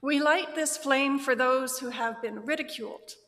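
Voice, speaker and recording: A woman slowly reading a solemn chalice-lighting litany in a church service, with deliberate phrasing. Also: high voice, a bit bright. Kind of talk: slow solemn liturgical reading. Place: church sanctuary. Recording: long hall reverb.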